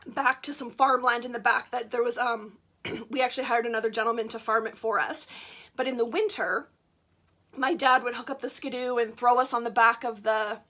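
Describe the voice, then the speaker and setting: A woman's voice: fairly high pitch, pushed and bright. A woman in her forties hosting her channel, chatting casually and rambling with many fillers. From Canada. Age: 40s. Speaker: witchcraft channel host. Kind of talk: casual chat to camera.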